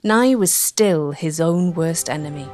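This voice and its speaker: Woman doing documentary voiceover, lowish voice, measured and articulate, reading a script. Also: a little mellow, soft background music. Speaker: documentary narrator.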